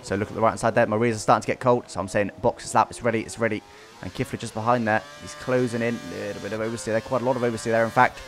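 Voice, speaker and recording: A man's voice: lowish voice, fairly even intonation, very fast, hardly any pauses, a little hiss or hum. A man giving casual, explanatory commentary over his own racing game race, recapping as he goes.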